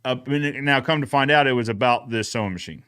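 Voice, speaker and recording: A man's voice: medium pitch, quick pace, a bit bright, smooth. Casual, jokey man hosting a recap. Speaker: host of a recap show. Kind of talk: casual banter, live show recap.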